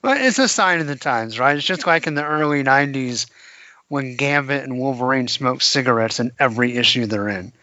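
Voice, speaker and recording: Man, podcast co-host, bright forceful voice, dry muffled sound, animated chat. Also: mid-range voice, a little fast, dry recording. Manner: animated, laughs often, acts out quotes. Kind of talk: casual chat about comics.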